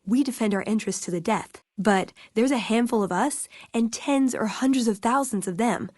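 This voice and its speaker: A woman's voice: fairly high pitch, normal speed, a little mellow, breathy edge, no echo, clean studio sound. A woman voicing a wry, idealistic young scavenger in a video game, speaking in a sardonic, conversational way. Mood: earnest, worried. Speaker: video game companion character. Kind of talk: naturalistic game dialogue acting.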